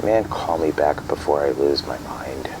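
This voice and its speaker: A man plays an anxious, isolated dad in an audio drama. His voice sits extremely low and moves slowly, hesitating and trailing off. Loud noise rumbles behind him. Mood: weary, confused. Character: anxious, isolated, bewildered father leaving voicemails. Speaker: actor in a fiction podcast. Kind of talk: naturalistic audio drama phone monologue. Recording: noisy line with loud background rumble.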